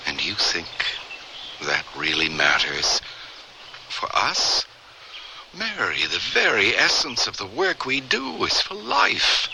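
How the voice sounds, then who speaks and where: An actor playing an earnest, devoted scientist and lover in a radio drama, speaking with deliberate intensity. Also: male voice, lowish voice, huge pitch swings, vocal fry, sharp tone, slight background noise, exaggerated voice. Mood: tender, earnest.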